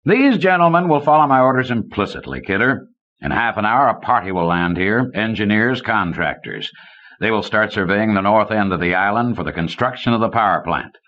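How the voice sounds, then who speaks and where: A male narrator plays a smug banker villain in an audiobook story, ruthless and oily. He threatens with smooth condescension in a low-set man's voice. The sound is muffled, like an old cassette. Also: big pitch swings, brisk, fairly bright tone. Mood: cold threat. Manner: oily, smooth-tongued menace. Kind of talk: audiobook character dialogue. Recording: dull lo-fi old cassette recording.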